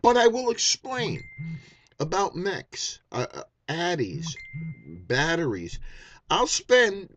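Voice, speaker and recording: A man's voice: high-pitched, huge pitch swings, slow pace, a bit bright, a little hiss or hum. A man in his fifties talking bluntly in an unscripted vlog, swearing often and restarting his sentences. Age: 50s. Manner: blunt and profane, with many restarts and fillers. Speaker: vaping YouTuber.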